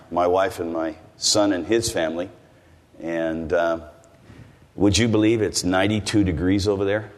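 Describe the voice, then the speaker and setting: An older American man preaching to a congregation in a folksy, rambling way, turning to the listeners with asides. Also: deep voice. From United States. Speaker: visiting preacher. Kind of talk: sermon. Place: church hall. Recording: long hall reverb.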